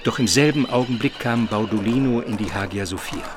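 A man playing a grave Byzantine minister who recounts his escape in a measured, solemn radio drama narration. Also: lowish voice, a loud crowd, close to an everyday voice. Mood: stirred, dramatic.

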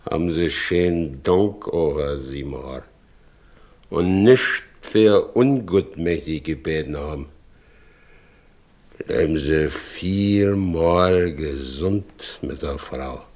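An actor playing a brooding, weary working-class husband in a radio drama. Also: male voice, very deep voice, a little mellow. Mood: weary, resigned. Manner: slow, heavy, rustic. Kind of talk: naturalistic German radio drama acting.

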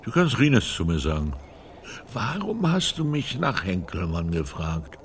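Radio play casting: gruff, dismissive older man, retired guard, very lively intonation, faint noise behind. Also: sits low, measured pace. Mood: gruffly conceding. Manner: brusque, grumbling, dismissive. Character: gruff retired old security guard with a dog. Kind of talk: radio drama dialogue.

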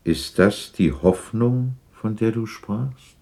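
A man's voice: fairly low pitch, takes it slowly, gravelly, dark tone. An actor playing an old miser, uneasy and hesitant as he questions spirits, in a 1960s German radio drama. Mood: disbelieving, uneasy.

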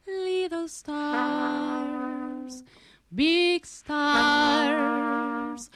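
A woman sings a lament in the manner of a lullaby. Her voice is very high and the phrases are drawn out very slowly, as pure musical performance. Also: steady tone, loud music behind the voice. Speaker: singer. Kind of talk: slow, lullaby-like sung lament.

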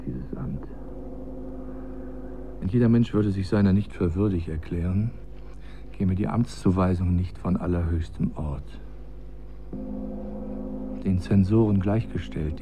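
An actor in a radio drama playing a humble, fearful court chronicler who recites gravely and deliberately. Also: male voice, on the low side, noisy background, lightly acted. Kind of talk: German radio drama acting.

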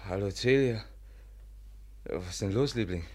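An actor playing the dry, unflappable partner of an adventuress in a 1950s German radio play, laconic in manner. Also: male voice, on the low side, moderate pace, dark tone, lightly acted. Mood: groggy.

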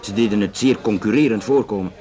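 An actor with a Flemish accent playing a matter-of-fact, talkative Belgian police investigator in a naturalistic radio drama, explaining conversationally with some hesitation. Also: male voice, on the low side, fast, dark tone, lightly acted. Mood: matter-of-fact, confiding.

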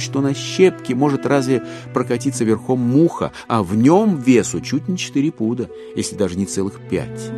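A man narrating a classic satirical tale in a measured, ironic literary manner. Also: mid-range voice. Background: music.

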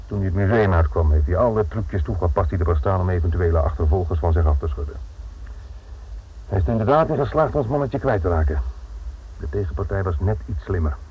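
An actor playing a stern, dryly sardonic police inspector in a Dutch radio drama, speaking formally and commandingly with a Netherlands accent. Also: male voice, low voice, lively intonation, fast, husky. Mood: reproachful, dry. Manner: formal, commanding, faintly sarcastic. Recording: muffled, narrow-band old radio recording.

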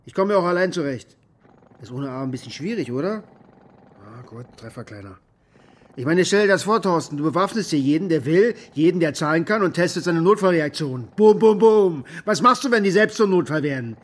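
An actor playing a bitter, maimed former military contractor who rambles coarsely and defensively in a naturalistic radio drama monologue. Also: male voice, a little high, expressive, fairly quick. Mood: resentful, then agitated. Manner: coarse, defensive, agitated rambling with rhetorical questions.

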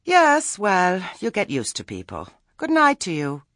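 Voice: medium pitch, wildly swooping pitch, unhurried, a bit bright, dry recording, clean studio sound, pitched above the performer's own voice. Narrator as a folksy, easygoing old witch woman. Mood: breezy. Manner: mild, folksy, evasive.

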